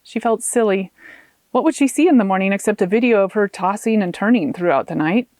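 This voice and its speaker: A woman author reading her own fiction aloud as an expressive audiobook narrator. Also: medium pitch, fairly quick, dry recording.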